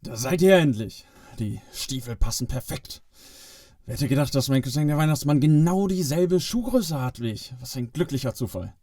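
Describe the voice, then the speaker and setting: A man's voice: medium pitch, expressive, normal speed, dark tone, no echo, clean studio sound, lightly acted. A man playing a sly, vain cousin of Santa, eager and boastful, in a family Christmas audio play. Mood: pleased, impatient.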